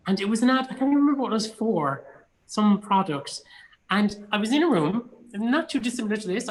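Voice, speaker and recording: A man's voice: high-pitched, rough recording. An Irish man in his thirties with a Cork accent talks as an interview guest. He is chatty and informal, leaning on words for emphasis. His storytelling has a self-deprecating streak. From Ireland. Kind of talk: casual interview anecdotes.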